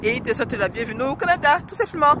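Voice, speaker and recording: A woman's voice: high-pitched, lively intonation, rapid-fire. Woman with the flu, casual vlog, rambling with fillers, outdoors, heavy wind noise. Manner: rambling, frequent fillers and self-corrections. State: has the flu. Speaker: vlogger. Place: outdoors by a lake in freezing wind.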